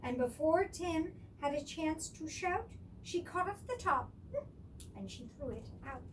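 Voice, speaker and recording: Very high-voiced, animated woman storyteller. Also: a little slow. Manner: expressive, theatrical, with chatty asides. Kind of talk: animated rhyming picture-book read-aloud. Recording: distant microphone with clear background noise.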